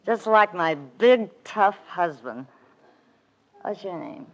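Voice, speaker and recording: A bitter, lonely young wife in an old radio melodrama. She drags her words out slowly, with wide, volatile swings of pitch. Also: lively intonation, highs cut off. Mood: bitter taunting. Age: around 30. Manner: taunting, drawn-out, volatile. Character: bitter, lonely, overwrought wife of about thirty. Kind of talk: 1940s radio melodrama acting.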